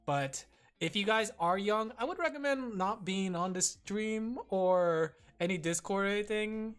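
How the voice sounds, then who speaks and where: A man's voice: sits high, a little slow, a bit bright, clear, resonant tone. A man streaming and chatting casually with his viewers, rambling with lots of fillers and frequent giggles.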